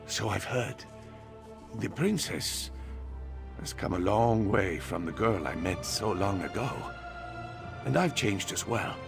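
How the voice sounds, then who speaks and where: An actor playing a reflective, humbled eastern Nord king, speaking in a measured, solemn way in a video game cutscene. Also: fairly low pitch, lively intonation, creaky, over music, full character voice.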